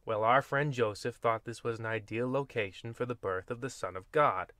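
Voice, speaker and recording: A man's voice: medium pitch, normal speed, bright, forceful tone, no room sound, clean studio sound. Wry man hosting a spooky radio comedy. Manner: rambling, wry asides, folksy. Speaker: host and storyteller of a radio anthology. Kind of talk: old-time horror radio host, comedic narration.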